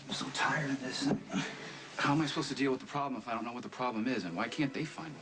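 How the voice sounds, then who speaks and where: A man's voice: medium pitch, quick pace, heavy background noise, natural voice. An actor playing a brooding, impatient wealthy man in a television soap opera. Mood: weary, frustrated.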